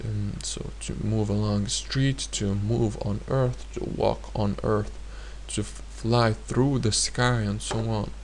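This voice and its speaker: A man teaching a grammar lesson in a hesitant way, pausing often and repeating each example sentence. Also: lowish voice, steady tone, darkish tone, slight background noise. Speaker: language teacher.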